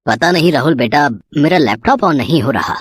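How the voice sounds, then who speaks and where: A woman's voice: low-pitched, lively intonation, moderate pace, husky, no room sound, clean studio sound. A woman voicing a strict male school computer teacher in a cartoon story. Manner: strict, scolding. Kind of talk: cartoon voice acting.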